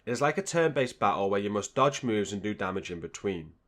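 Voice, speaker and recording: A man's voice: lowish voice, animated, brisk, heavy background noise. A man hosting a gaming video, reading scripted guide narration in a straightforward, instructional way.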